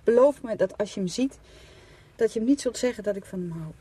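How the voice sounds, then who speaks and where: A woman's voice: medium pitch, lively intonation, a little fast, warm tone, husky. An actress playing an emotional, defiant woman secretly in love, in a radio play. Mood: pleading.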